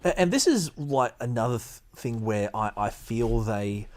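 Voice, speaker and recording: A man hosting a podcast, reading aloud and giving casual commentary in a halting, emphatic way. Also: mid-range voice, lively intonation, a little mellow. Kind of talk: casual commentary mixed with read-aloud passages.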